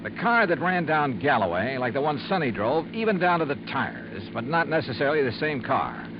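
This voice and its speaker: A wry, hard-boiled insurance investigator, as an actor plays him for a 1950s radio drama, with a lively, rising and falling delivery. The laconic narration comes through a dull, muffled old broadcast under heavy hiss. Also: male voice, mid-range voice, brisk, natural voice. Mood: calm. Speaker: lead character and narrator.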